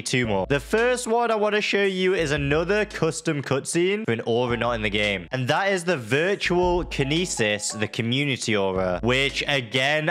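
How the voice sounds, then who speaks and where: A man's voice: mid-range voice, fairly bright tone. A young man giving casual, slangy, exclamatory reaction commentary as host of a gaming video. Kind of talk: casual reaction commentary over game footage.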